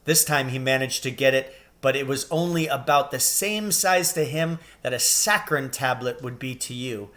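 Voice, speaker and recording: A man's voice: medium pitch, normal speed, hardly any pauses, bright, forceful tone. A man narrating a children's storybook as a scripted read-aloud. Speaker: storybook reader.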